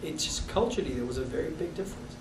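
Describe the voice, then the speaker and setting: A man in his thirties with a South African accent, recalling his past with halting restarts in an oral history interview. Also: fairly high pitch, expressive. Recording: echoey room, distant mic, noisy.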